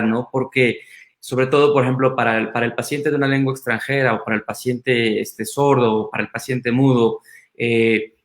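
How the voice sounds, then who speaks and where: Man on an echoey call. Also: mid-range voice. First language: Spanish. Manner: thoughtful, restarts with fillers. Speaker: doctor. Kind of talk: casual video call chat. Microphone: video call.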